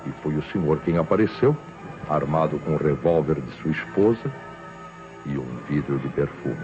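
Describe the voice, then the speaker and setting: An actor playing a relentless police inspector in an old Brazilian radio drama, speaking in a theatrical, deliberate, accusing way. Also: male voice, rumbling low. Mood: confident, explanatory. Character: shrewd, relentless male police inspector exposing a killer. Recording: dull vintage radio recording with music.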